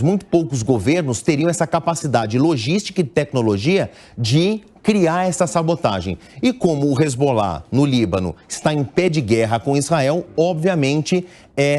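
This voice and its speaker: A middle-aged man with a Brazilian Portuguese accent giving analytical news commentary as an international editor, measured and explanatory, with small restarts. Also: on the high side, darkish tone.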